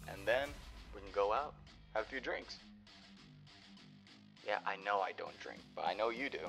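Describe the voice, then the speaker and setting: A man's voice: on the low side, fairly bright tone. A man playing a protective, cocky young cyberpunk hacker and boyfriend in a roleplay audio drama, talking casually and sarcastically. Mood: relaxed, teasing. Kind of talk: roleplay audio drama, close and hushed in places.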